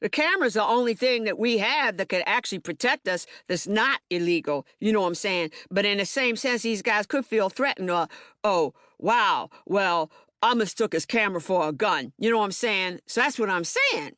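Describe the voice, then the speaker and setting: Woman playing a young street witness, agitated, colloquial, stammering repeats, documentary theater monologue, mid pitch, very dry studio sound. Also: expressive, normal speed, a bit bright, no echo, close to an everyday voice. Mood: indignant, insistent. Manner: emphatic, colloquial, repetitive, stammering on words. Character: agitated, streetwise young Baltimore man, eyewitness bystander. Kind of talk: dramatic monologue, audiobook theater.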